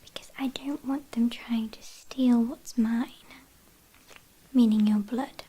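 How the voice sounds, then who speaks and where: A British woman playing an ancient, possessive, haughty vampire in a whispered ASMR roleplay, speaking softly with quiet menace and condescension. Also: a little high, steady tone, takes it slowly, low-quality recording. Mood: condescending, haughty. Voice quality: soft breathy whisper.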